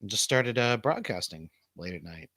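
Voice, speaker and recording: A man's voice: on the low side, animated, normal speed, pushed and bright, no echo. A middle-aged man hosting a casual live stream in informal, sometimes sweary chat. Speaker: show host.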